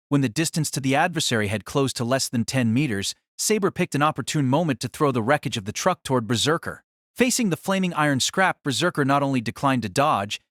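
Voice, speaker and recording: A man narrating a scripted action story as an audiobook reader, with a measured, formal, literary delivery. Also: mid-range voice, no room sound, studio quality.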